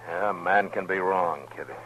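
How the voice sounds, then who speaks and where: An actor playing a grave, weary frontier marshal in an old-time radio western, speaking tersely and somberly. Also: male voice, low voice, moderate pace, fairly bright tone, low-quality recording. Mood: thoughtful. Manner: terse, somber, plain-spoken.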